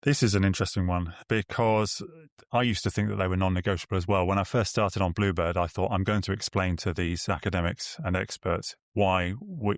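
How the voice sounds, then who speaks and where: A man speaking as an expert podcast guest, explaining things in a measured, articulate way. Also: low voice, no room sound, clean studio sound. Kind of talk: explanatory interview talk.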